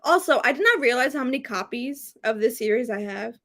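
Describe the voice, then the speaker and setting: A woman hosting a book readalong, chatting casually and excitedly, with an emphatic, gushing way of repeating herself. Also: on the high side, a little fast. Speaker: host of a book readalong live stream.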